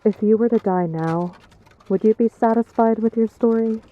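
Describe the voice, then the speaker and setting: A woman's voice: a little high, moderate pace, phone-quality sound, close to an everyday voice. A woman playing a guarded, brooding noblewoman in a fantasy audio drama, grave and restrained in her speech. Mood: somber, probing. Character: somber, guarded young noblewoman, brooding on death.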